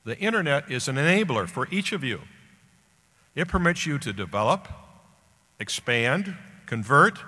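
An older American man, around seventy, delivering a formal scripted commencement address at a podium microphone in deliberate, emphatic phrases. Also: mid-range voice, bright, forceful tone. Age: older, about 70. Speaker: guest speaker at a graduation ceremony. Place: large indoor auditorium stage at a podium. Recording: echoey hall public-address sound.

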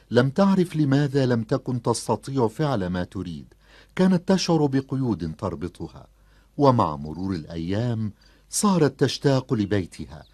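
An expressive cartoon storyteller, with lively rises and falls and a slightly husky tone. The scripted narration sounds close and dry. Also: male voice, mid-range voice, no echo.

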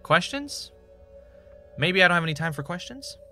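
A man's voice: high-pitched, very animated, moderate pace, pushed and bright, faint music behind, exaggerated voice. An actor playing a joking, evasive male podcaster in naturalistic, bantering audio drama dialogue. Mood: teasing.

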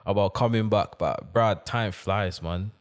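A man co-hosting a casual chat, speaking London English with Ghanaian touches, relaxed and full of slang. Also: fairly low pitch. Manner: laid-back London slang, drawn-out storytelling. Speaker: podcast co-host.

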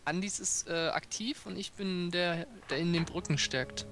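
A man's voice: high-pitched, moderate pace, fairly bright tone, soft background music. A young man trading casual, teasing and mock-dramatic banter as a gaming co-commentator. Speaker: let's play co-commentator. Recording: clear background noise, clicks.

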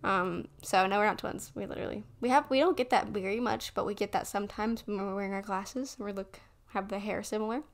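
An eighteen-year-old woman hosting a faith podcast in casual chat, talking quickly with lots of "like" fillers. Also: medium pitch, fairly even intonation. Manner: quick, chatty, with many "like" fillers. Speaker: podcast host. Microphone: desk condenser mic.

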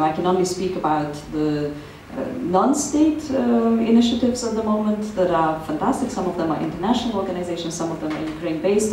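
A woman's voice: fairly low pitch, darkish tone. Woman panellist in a very echoey theatre. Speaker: panel speaker. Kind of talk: panel discussion answer. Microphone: handheld microphone, heard from far camera. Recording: echoey theatre, distant, faint noise.

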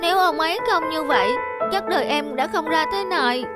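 A woman's voice: high voice, fairly quick, over loud music, natural voice. A woman voicing a poor, polite teenage shoeshine boy in a cartoon, earnest and youthful. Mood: incredulous, questioning. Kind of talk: cartoon character acting.

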